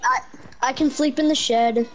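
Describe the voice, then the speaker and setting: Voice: high-pitched, steady tone, moderate pace, fairly bright tone, a little hiss or hum. A dramatic, exclaiming player improvising in a casual game roleplay, with a female voice.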